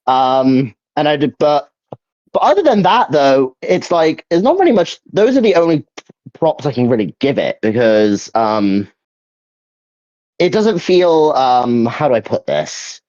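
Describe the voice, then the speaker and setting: A dull, lo-fi recording of a young man giving casual gaming opinions. His pitch moves around a lot and is very expressive. He often stumbles and restarts as he hunts for the right words. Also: a little slow. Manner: hesitant, searching for words, repeats himself. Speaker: video game ranking host. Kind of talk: casual opinion chat about video games. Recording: dull, lo-fi mic.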